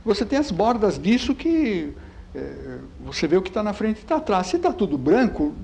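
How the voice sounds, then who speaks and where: Older man, born in Italy, light Italian accent, professor lecturing, fairly high voice, halting, lots of fillers. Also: sing-song, vocal fry, dark tone. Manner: halting, many fillers and repeated words; chatty, keeps asking "né?". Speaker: physics professor giving a lecture. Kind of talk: lecture with slides.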